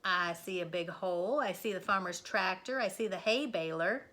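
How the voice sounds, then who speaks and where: A woman's voice: lowish voice, moderate pace, sharp tone. A woman voicing a naive, doubting cow in a children's story, earnest, wondering and easily startled. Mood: puzzled. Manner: wondering, questioning, exclaiming.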